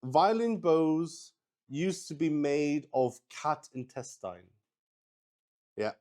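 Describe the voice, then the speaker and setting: Slow-paced man, casual and hesitant. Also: a little high, sing-song, a little mellow. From Luxembourg. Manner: hesitant, thinking aloud. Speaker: solo roleplay stream player. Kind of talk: casual improvised chat while playing.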